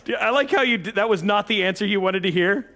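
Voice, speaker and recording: A man's voice: high-pitched, fast talker, pushed and bright. A young man hosting a casual live stream while building a PC, playful and teasing with his co-host.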